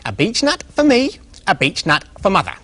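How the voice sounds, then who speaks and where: A squealing little piglet. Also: male voice, high-pitched, wildly swooping pitch, normal speed, sharp tone, voice pushed up, full character voice. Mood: proud. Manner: squealing, breathless. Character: excited squealing little boy pig.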